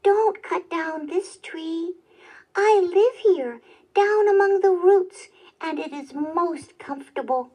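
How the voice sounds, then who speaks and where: A woman's voice: extremely high pitch, slow pace, mellow, pitched above the performer's own voice. A woman voicing a small, polite male elf in a children's storybook, pleading and solemn in manner.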